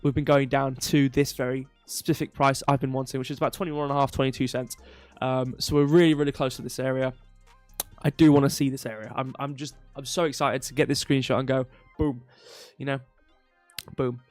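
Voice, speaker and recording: A man's voice: mid-range voice, flat delivery, fast talker, soft background music. A man with a slightly stuffy, nasal voice hosting a casual livestream of market commentary, digressing with self-deprecating humour, close to the microphone. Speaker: crypto livestream host.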